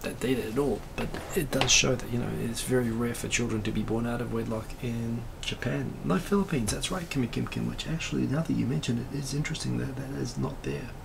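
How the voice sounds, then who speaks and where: Man, raspy and heavily gravelly, loose rambling live-stream host, steady hiss behind. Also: mid-range voice, brisk, hardly any pauses. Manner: rambling, many fillers and asides. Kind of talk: casual live-stream chat reading comments.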